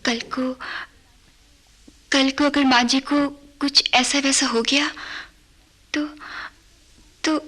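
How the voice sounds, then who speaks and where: High-voiced, slow, hesitant wife. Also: female voice, little pitch movement, pushed and bright, exaggerated voice. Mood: worried, hesitant. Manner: halting, tentative. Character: hesitant, anxious daughter-in-law and wife. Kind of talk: radio drama acting.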